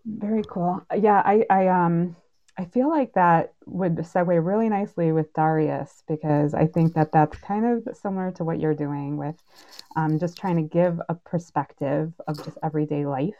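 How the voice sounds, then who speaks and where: A woman's voice: on the low side, normal speed. A woman casually moderating a panel, recorded with slightly dull, lo-fi sound. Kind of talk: casual hosting.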